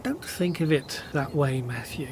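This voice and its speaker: An actor playing a kindly, patient disciple in a radio play, speaking in a gentle, reassuring manner. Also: male voice, on the high side, unhurried, slight background noise, lightly acted.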